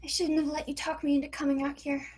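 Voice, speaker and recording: A woman plays a worried, innocent girl of twelve in a screenplay read. The voice is high, with a fretful and hesitant manner. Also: little pitch movement, fast talker, darkish tone, lightly acted. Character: anxious twelve-year-old innocent girl.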